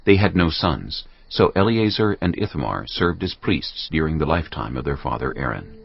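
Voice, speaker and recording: A man narrating dramatised scripture from a script in a solemn, measured manner. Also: rumbling low, very animated. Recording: slightly dull audio under clear music. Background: music.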